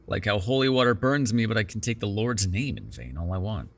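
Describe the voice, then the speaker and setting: A man's voice: on the low side, lively intonation, a little fast. A man playing a sardonic but caring captive vampire in an immersive ASMR roleplay, talking in a wry, teasing, profane conversational way. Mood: wry, musing. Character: sardonic, caring immortal male vampire held captive in cuffs.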